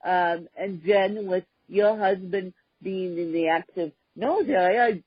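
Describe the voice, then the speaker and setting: Woman podcast host, husky, very slow, halting delivery with pauses, dry dull recording. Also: fairly low pitch, no room sound. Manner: halting, with frequent pauses and fillers. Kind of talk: casual conversational podcast chat.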